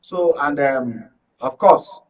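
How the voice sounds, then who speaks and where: A man with a Nigerian accent giving political commentary haltingly, often checking that he is understood. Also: on the high side, darkish tone. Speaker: studio guest commentator. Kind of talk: political discussion. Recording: dull, distant broadcast sound.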